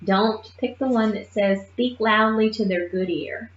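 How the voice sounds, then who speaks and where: A woman teaching a nursing assistant class in a lively, emphatic way, often checking in with her listeners. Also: medium pitch, unhurried. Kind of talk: classroom lecture. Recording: muffled roomy classroom recording.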